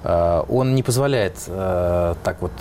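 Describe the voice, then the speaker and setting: A man's voice: sits low, a little slow, mellow, a little hiss or hum. A man, a musician being interviewed, answering in a reflective way as he searches for words.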